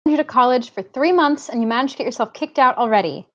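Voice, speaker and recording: A woman's voice: high-pitched, animated, fast talker, fairly bright tone. A woman acting a loving but firm mother in her forties in a script table read. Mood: wry, welcoming. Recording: dull, lo-fi voice recording.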